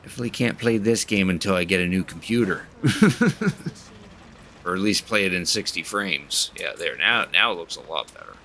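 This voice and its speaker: Very deep-voiced male game streamer. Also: moderate pace, sharp tone, slight background noise. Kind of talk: casual live stream commentary and reading game dialogue. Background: faint game music.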